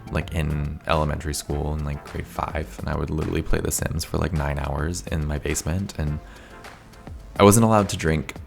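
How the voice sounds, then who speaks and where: A young man talks through a voice-over in a very deep, gravelly voice with a crackly fry. He is a little hoarse, as if losing his voice, and rambles along casually, dropping "like" into nearly every thought. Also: steady tone, normal speed, faint music behind. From Canada. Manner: chatty, rambling, says "like" often. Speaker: video game builder, channel host.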